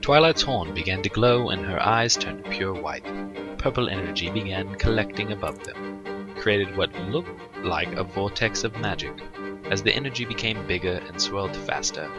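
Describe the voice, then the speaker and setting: Deep-voiced man narrating fan fiction. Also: normal speed, loud music behind the voice. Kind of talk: scripted story narration.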